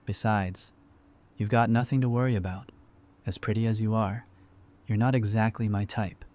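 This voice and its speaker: Male actor as friendly, practical post-apocalyptic survivor for audio drama; fairly low pitch, dry casual banter. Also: steady tone, moderate pace, a little mellow, lightly acted. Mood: teasing, wry. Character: easygoing, wry male survivor, friendly and pragmatic. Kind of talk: fan audio drama dialogue.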